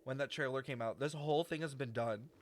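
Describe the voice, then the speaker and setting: A young man streaming a video game with casual live commentary, expressive, slangy and swearing freely. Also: medium pitch, fast talker.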